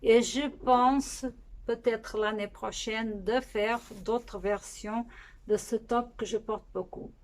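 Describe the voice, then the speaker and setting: A woman from Portugal speaking French with a Portuguese accent, hosting her knitting podcast in a casual monologue that often pauses and restarts. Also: a little high, fairly even intonation, poor audio. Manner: unhurried, with frequent pauses and restarts.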